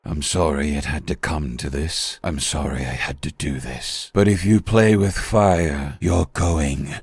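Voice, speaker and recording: Man playing a very deep, raspy, somber menace. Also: a little slow, no echo, heavily acted. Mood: somber threat. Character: somber, menacing, remorseful man passing judgment. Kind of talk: video game character lines.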